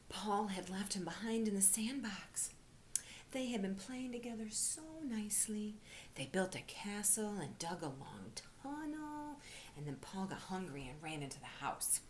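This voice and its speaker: Female teacher-storyteller, mid-pitched, expressive and hushed for young children; faint background noise. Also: measured pace. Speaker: teacher reading aloud to children.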